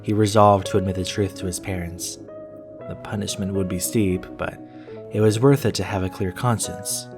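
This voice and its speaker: Low-voiced man narrating over guitar music. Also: steady tone, normal speed. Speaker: horror story reader. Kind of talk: scripted first-person narration. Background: plucked guitar music.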